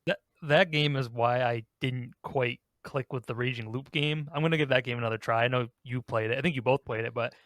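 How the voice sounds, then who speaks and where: A man with a mid-pitched voice in casual conversation, recorded dry with no room sound. He talks like a podcast co-host chatting about games with friends. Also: a little fast, hardly any pauses, fairly bright tone, no room sound.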